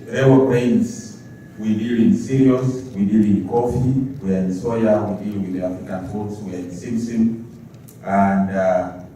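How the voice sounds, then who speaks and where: Flat, low-voiced man in an echoey hall. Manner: earnest, pledging, halting restarts. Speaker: grain trading company representative. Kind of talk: conference remarks. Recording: echoey hall, distant mic, faint noise.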